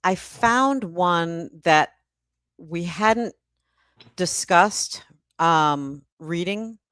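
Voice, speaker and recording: Smooth low-voiced woman hosting a chatty livestream, takes her time, pauses a lot. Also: lively intonation, fairly bright tone, dry recording, clean studio sound. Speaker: host and publisher. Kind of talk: hosting a casual live-stream chat.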